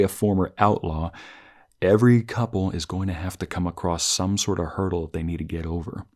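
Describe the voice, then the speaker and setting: A man talking through a conversational review as a host, reflective and halting, often rephrasing his thoughts as he goes. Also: sits low, darkish tone. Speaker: host of story review channel, narrator.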